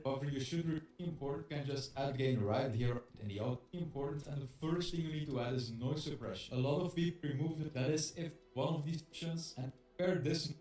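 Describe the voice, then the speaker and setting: A man's voice: mid-range voice, a little mellow. Man explaining audio settings in an echoey room. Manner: instructive, methodical. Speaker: audio tutorial presenter. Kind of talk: explanatory tutorial narration.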